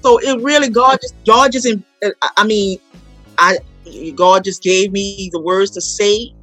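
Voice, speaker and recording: A woman's voice: mid-range voice, steady tone, measured pace, a bit bright, dry recording, faint music behind. A woman from New Orleans talking as an interview guest, emphatic and prone to repeating her phrases. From New Orleans, United States. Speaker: guest, playwright and performer. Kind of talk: conversational interview.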